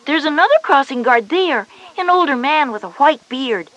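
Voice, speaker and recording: Big-sister schoolgirl, high-pitched, explanatory, patient, scripted kids' cassette dialogue. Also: lively intonation, moderate pace, fairly bright tone, low-quality recording. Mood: patient, instructive. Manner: explanatory, instructive.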